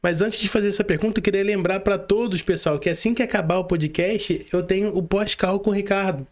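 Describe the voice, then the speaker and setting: A man's voice: high-pitched, a little fast. A Brazilian man speaking casually as a podcast host, in informal, slangy talk addressed straight to his listeners. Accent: Brazilian Portuguese.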